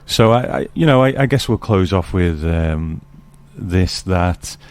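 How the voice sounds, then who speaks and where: A man's voice: low-pitched, animated, a little slow. A man hosting a podcast, talking in a casual, conversational way. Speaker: podcast host. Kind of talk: casual chat.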